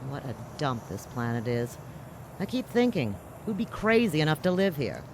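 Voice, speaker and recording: A woman's voice: low-pitched, very animated, normal speed, noisy background. An actress playing a tough, blunt, slangy woman who runs a mining outpost in a science fiction radio drama. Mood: bitter, sardonic. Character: brash, hard-bitten woman boss of a mining outpost.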